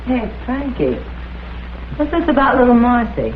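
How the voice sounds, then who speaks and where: An actress playing a weary, cynical widow in a vintage crime drama, speaking with sardonic, slangy bite. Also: female voice, a little high, very animated, measured pace. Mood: bitter. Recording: dull old soundtrack with faint noise.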